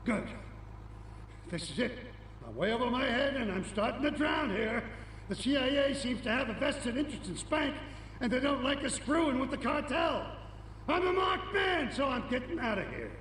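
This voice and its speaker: An actor playing a jittery, corrupt middle-aged cop in a video game, gravelly, scheming and foul-tempered. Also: male voice, very high-pitched, very animated, moderate pace, a bit bright, heavily acted. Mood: nervous. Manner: agitated, conspiratorial, streetwise. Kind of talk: video game cutscene acting. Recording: roomy, distant, faint noise.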